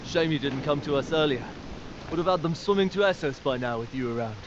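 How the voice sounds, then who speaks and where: An actor playing a pragmatic fantasy war commander in a video game, grave and decisive. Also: male voice, on the high side, brisk, background noise, close to an everyday voice. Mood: grave, approving. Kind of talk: video game character acting. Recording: dull audio under music.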